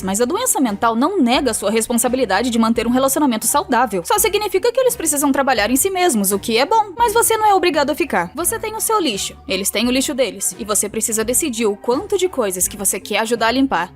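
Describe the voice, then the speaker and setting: A Brazilian woman with a high-pitched voice narrates an animated video. Her delivery is very lively and swooping, casual and colloquial. Faint music plays behind her. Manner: colloquial, acts out quotes and dialogue.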